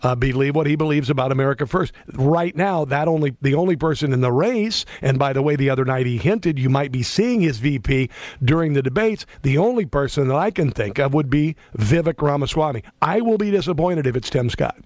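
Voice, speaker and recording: A man's voice: on the high side, animated, a little fast, darkish tone, no echo. A man in his fifties hosting a talk radio show, rambling and chummy, laughing often. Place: radio studio. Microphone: studio microphone.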